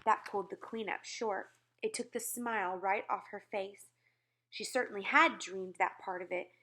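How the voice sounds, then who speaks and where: A woman narrating a children's storybook aloud in an expressive style. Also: mid-range voice, moderate pace.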